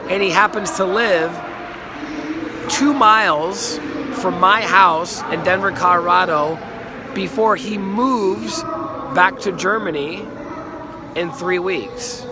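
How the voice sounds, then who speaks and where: A man, hoarse from a cold, chatting enthusiastically on a live stream and repeating words as he talks. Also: on the high side, very noisy. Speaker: life coach and healer. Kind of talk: casual live stream monologue. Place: outdoors in a city. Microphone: phone.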